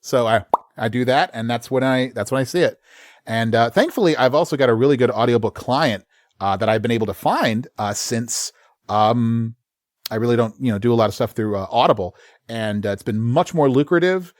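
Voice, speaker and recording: A man in casual interview talk, a working voice actor telling stories about his job. He speaks at a middle pitch, animated, and drops into quick put-on voices as he goes. The sound is dry and close to the mic. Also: no echo. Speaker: voice actor guest.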